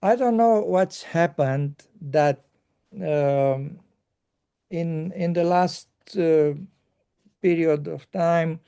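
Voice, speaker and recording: A man hosting a casual conversation, talking haltingly with many pauses and fillers. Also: fairly high pitch, vocal fry, mellow, clean tone. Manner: halting, pausing often with fillers.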